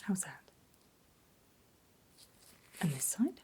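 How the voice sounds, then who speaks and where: A woman whispers very slowly and breathily, in a fairly low pitch. She plays a reassuring doctor in an ASMR roleplay, gentle and attentive to a nervous patient. There is faint noise behind her close voice. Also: sing-song. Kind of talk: soft-spoken ASMR medical exam roleplay.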